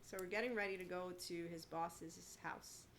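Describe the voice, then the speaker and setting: Woman in her forties, lowish voice, emphatic and sarcastic, solo podcast monologue, noisy background. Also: fairly even intonation, normal speed, a bit bright. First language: bilingual with Italian. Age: 40s. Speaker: podcast host. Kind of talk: opinionated solo monologue with personal stories.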